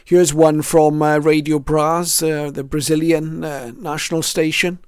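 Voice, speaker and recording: A mature man presents his hobby collection in a casual, reflective way. His voice sits a little high and stays even in pitch, with a clean, smooth tone. He moves through items one by one, often hesitating as he goes. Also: a little slow, dry recording, clean studio sound. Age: middle-aged or older. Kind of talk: casual show-and-tell narration.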